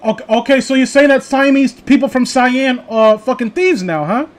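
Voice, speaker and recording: A high-pitched, pompous male TV director as acted out by a man in casual banter. He rambles theatrically through his ideas, crude and sanctimonious, with an indignant edge. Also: moderate pace.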